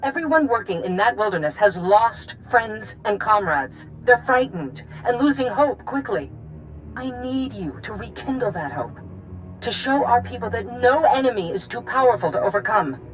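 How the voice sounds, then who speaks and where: An actress playing a determined colonial governor in a video game, speaking formally and earnestly to rally a hero. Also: female voice, fairly high pitch, animated, moderate pace, a little hiss or hum, natural voice, poor audio. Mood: grave, urgent. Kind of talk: video game cutscene acting.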